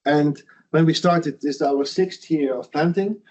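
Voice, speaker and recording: A man's voice: on the high side, a little slow, a little mellow. A middle-aged man from the Netherlands, a foundation founder giving a lunch lecture in an earnest, conversational way with frequent restarts. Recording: dull lo-fi sound.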